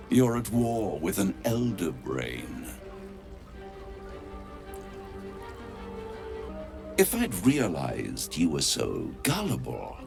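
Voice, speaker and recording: A man playing a smug, menacing devil dealmaker in a video game, theatrical and taunting, savouring words with dramatic pauses. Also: lowish voice, full character voice. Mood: mocking, persuasive. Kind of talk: video game character acting.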